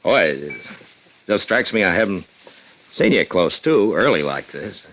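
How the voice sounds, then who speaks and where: Casting: shy, stammering frontier marshal, very low voice with lively inflection, old radio drama. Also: male voice, full character voice. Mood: bashful. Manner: halting, bashful, laughs nervously. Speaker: lead actor. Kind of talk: 1950s radio drama acting.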